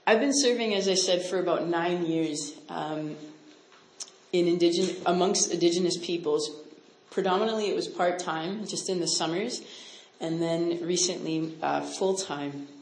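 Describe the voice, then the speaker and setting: A woman's voice: on the low side, normal speed, a little mellow, a little hiss or hum. A woman from Canada, a missionary speaker, teaching a casual Bible lesson. She quizzes her audience and talks in a chatty way, with many "like" and "right?" asides. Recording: roomy echo.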